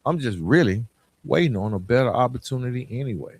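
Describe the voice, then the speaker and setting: A man's voice: mid-range voice, animated, fairly quick, breathy edge, no echo. A man hosting a podcast, giving an emphatic, colloquial motivational monologue with storytelling and repeated key phrases. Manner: colloquial, informal address. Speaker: podcast host.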